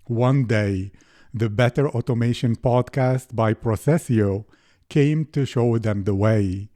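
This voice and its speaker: Male podcast host, unhurried delivery, warm mellow tone. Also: mid-range voice. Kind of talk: scripted intro then interview questions.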